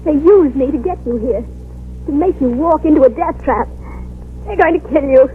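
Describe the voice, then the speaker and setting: A woman's voice: high voice, normal speed, phone-quality sound, faint music behind, lightly acted. An actress playing a frightened young woman, the hero's loyal companion, pleading and breathless, in an old radio drama on a scratchy broadcast.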